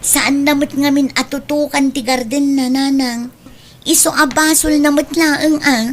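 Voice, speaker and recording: A woman's voice: high-pitched, fairly even intonation, measured pace, over music. A woman playing a caring but reproving elder sister in an audio drama, earnest and admonishing, with an Ilocano accent. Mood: concerned. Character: caring, reproving elder sister who defends her mother.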